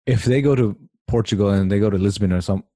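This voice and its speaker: A man's voice: on the low side, fast talker, dark tone, dry recording. A man hosting a casual conversation, asking curious, rambling questions. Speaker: host.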